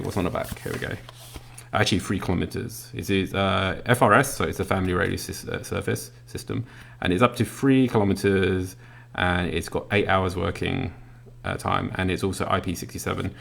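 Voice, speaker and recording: A man reviewing a product in a casual hands-on demonstration, explaining it step by step in a halting way. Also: sits low, vocal fry. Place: indoors at a work desk.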